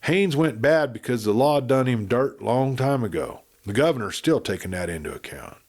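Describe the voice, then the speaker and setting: A man's voice: medium pitch, expressive, moderate pace. A man voicing a blunt, grim cowboy in a western audiobook, with rustic frontier talk. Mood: grim explaining.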